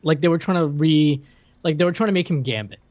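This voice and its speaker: A man's voice: a little high, a little mellow, lo-fi sound. A man co-hosting a podcast in casual chat, talking hesitantly and breaking off mid-sentence. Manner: hesitant, halting, self-interrupting. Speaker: podcast co-host.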